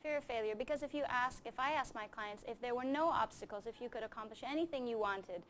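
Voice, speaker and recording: A woman's voice: on the high side, fast, a bit bright, slight background noise. A middle-aged woman who grew up in Japan, speaking as a coach and interview guest in an earnest, emphatic, explanatory way. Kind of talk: conversational interview answers with anecdotes.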